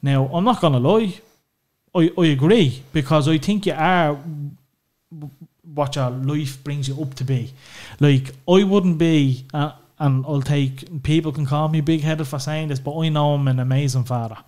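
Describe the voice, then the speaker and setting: A man's voice: a little high, moderate pace, darkish tone. An Irish man opening up as a podcast guest, talking frankly about family and swearing casually, with lots of "you know" filler. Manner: open and emotional, swears freely, many "you know"s. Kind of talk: candid personal conversation.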